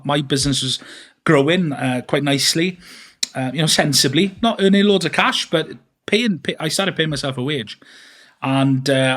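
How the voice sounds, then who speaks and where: A man's voice: medium pitch, fairly bright tone. A man in his thirties, a podcast guest telling his life story in a chatty, rambling way with lots of fillers and restarts. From Wales. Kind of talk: casual interview storytelling.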